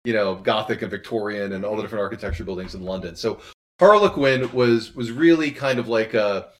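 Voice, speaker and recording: A man with a fairly low voice speaks as an interviewed creator. He is reflective and conversational, often pausing and restarting as he works through a thought. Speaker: interviewed audio drama creator.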